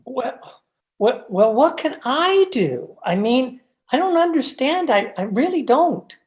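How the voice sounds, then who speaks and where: A woman's voice: sits high, big pitch swings, takes it slowly, exaggerated voice. A woman playing a timid, henpecked husband who stammers and hesitates, in old time radio horror style. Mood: frightened. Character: timid, henpecked, frightened husband, a meek little man. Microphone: video call.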